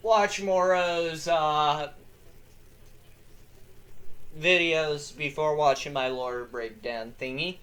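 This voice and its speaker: A young man hosting a lore video, reading his script in a casual, excitable way, swearing freely and breaking into yells. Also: a little high, slow pace, long pauses.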